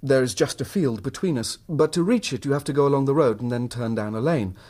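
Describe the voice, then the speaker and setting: A man's voice: mid-range voice, fairly quick, a little mellow, lightly acted. An actor playing an earnest, troubled middle-class English husband telling his story in formal period language for a radio drama. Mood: earnest, reflective.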